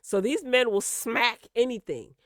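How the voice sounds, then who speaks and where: A woman's voice: on the high side, expressive, unhurried, no room sound, studio quality. A woman host giving casual, opinionated gossip commentary, speaking emphatically and straight to her listeners, repeating words for emphasis. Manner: emphatic, direct address to listeners with repetition for emphasis.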